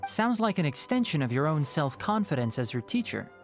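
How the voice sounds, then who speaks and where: A dry, lively-toned young scholar. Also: male voice, a little high. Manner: measured and dryly sarcastic. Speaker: scholar and guardian. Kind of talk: video game voice acting. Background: game music.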